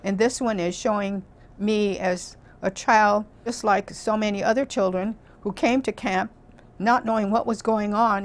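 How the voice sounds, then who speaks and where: A woman in her eighties giving thoughtful recollections in a documentary interview, with small hesitations. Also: medium pitch. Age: 80s.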